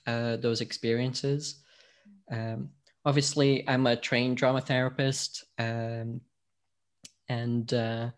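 A man from Portugal, a podcast host now being interviewed, talking casually with many fillers and restarts. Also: medium pitch.

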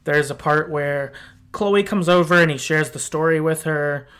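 Higher-pitched man recapping a story casually. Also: moderate pace, fairly bright tone, clean tone. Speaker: podcast host. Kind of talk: casual podcast discussion, recapping a game's story.

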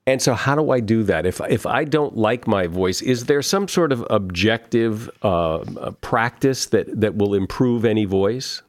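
A male podcast host with a somewhat low voice talks casually. He often repeats words as he gets going. The recording is dry and close. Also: a little slow, few pauses, no echo. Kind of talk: interview conversation.

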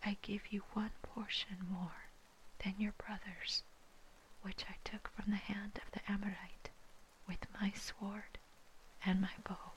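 A woman reading scripture in a soft, breathy, unvoiced whisper, ASMR style, with measured phrasing. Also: mid-range voice, flat delivery, low-quality recording. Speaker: scripture reader.

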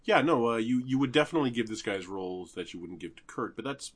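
Lively middle-aged man, casual podcast banter. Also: medium pitch, fast, slightly rough. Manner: animated, joking, laughs often. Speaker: podcast host. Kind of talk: casual chat.

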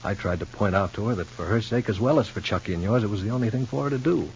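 An actor playing an earnest, concerned confidant in an old radio soap opera, with a halting, emphatic delivery. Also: male voice, on the low side, gravelly, slightly rough, heavily acted. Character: earnest, concerned male confidant pleading for understanding. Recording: muffled old radio recording, noisy hum.

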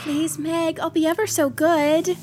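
Woman playing a very high-pitched, petulant little girl. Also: little pitch movement, unhurried, darkish tone, soft background music. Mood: pleading. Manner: whiny, dramatic, insistent. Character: petulant, proud, pleading youngest sister, a young girl. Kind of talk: audio play acting.

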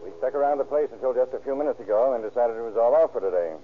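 An actor playing a cautious, protective police detective in a 1930s radio drama, clipped and businesslike. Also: male voice, mid-range voice, racing, a little hiss or hum, close to an everyday voice. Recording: tinny, band-limited 1930s radio recording.

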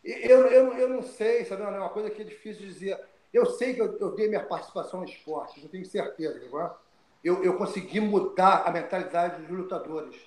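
An older man from Brazil talks as an interview guest in a voice pitched high for a man. He is animated, repeats words and restarts his sentences. The sound carries an echo from the room. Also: a little fast. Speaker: guest, retired fighter. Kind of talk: storytelling interview.

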